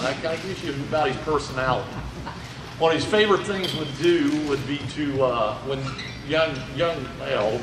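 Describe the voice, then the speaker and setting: A man's voice: on the high side, background noise. A middle-aged man from Indiana, a museum volunteer giving a chatty, folksy history talk to an audience. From Indiana, USA. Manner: folksy, chatty, checks in with "okay?". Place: large indoor museum hall. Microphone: handheld mic held loosely. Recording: echoey hall recorded from far off.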